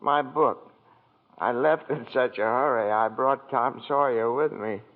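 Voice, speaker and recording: An actor playing a gentle, bumbling elderly apprentice angel in a 1940s radio play, mild and quaintly earnest. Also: male voice, medium pitch, a little slow, lightly acted. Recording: tinny 1940s radio broadcast.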